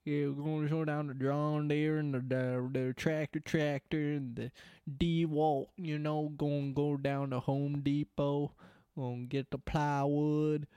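A man chatting casually with friends, rambling and joking in a drawn-out put-on country voice. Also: on the high side, little pitch movement, warm tone, clean tone, dry recording. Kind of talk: casual chat, goofing in a put-on country drawl.

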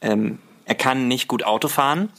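A man's voice: medium pitch, normal speed, gravelly, bright, forceful tone. A man as a podcast guest, chatting casually in an informal, playful way. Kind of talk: casual chat.

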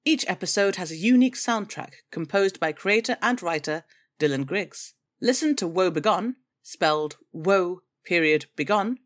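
A woman reading scripted promotional narration for a podcast. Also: medium pitch.

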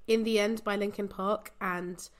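A woman's voice: mid-range voice, fairly even intonation, a little slow, smooth. A woman from Portugal, chatting as a podcast guest and often breaking off to restart her sentences. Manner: chatty, self-interrupting, laughs often. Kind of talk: casual conversation.

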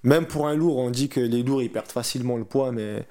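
A teenage man of eighteen being interviewed about his sport. He talks in a casual, colloquial way and often repeats a phrase to drive it home. His voice sits at an ordinary middle pitch. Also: fairly quick, dark tone. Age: 18 years old. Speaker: athlete interviewee, wrestler. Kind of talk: casual chat.

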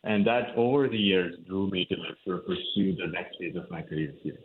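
A man's voice: sits low, brisk, narrow, tinny audio, slight background noise. A man from India, a cardiologist, explaining his work in an interview, with many fillers and restarts. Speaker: cardiologist guest. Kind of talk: interview answers.

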